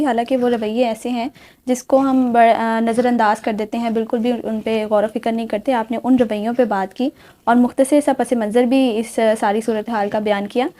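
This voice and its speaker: A woman's voice: fairly high pitch, dark tone. A Pakistani woman hosting a talk show in a formal, courteous and appreciative way. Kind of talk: formal, polite programme presenting.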